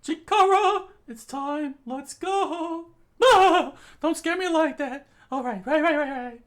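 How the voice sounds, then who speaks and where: Woman voicing jittery teenage anime boy, high-pitched, excitable, rambling, stumbling over words. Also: takes it slowly. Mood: earnest. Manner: rambling, excitable, breaks into laughs.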